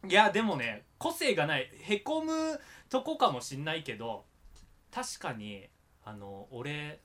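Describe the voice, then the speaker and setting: A man's voice: on the high side, very animated, measured pace, a bit bright. A man hosting a podcast in casual, self-deprecating chat. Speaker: podcast host.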